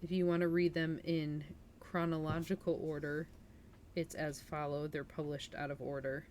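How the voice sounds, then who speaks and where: Woman, slightly low-pitched voice, livestream host, storytelling and casual chat, some background noise. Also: flat delivery, moderate pace, darkish tone. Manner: informal, chatty asides to viewers. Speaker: livestream host reading a novel aloud to viewers. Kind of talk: storytelling read-aloud and casual stream chat.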